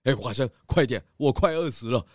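Pig detective for kids' audio drama male actor: genial glutton, hesitant and hammy, very animated, mid pitch. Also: brisk, no echo, clean studio sound, close to an everyday voice. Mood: hungry, eager. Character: food-loving pig detective, genial, slightly bumbling man. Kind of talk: children's mystery audio drama.

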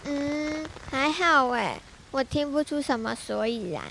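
An eager little brother. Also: male voice, very high-pitched, expressive, measured pace, gravelly, noisy background, full character voice. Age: child. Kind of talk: children's radio drama.

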